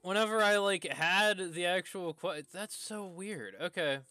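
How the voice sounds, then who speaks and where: A man's voice: sits high, a little slow, sharp tone, soft background music. A man giving casual live commentary as he streams a video game.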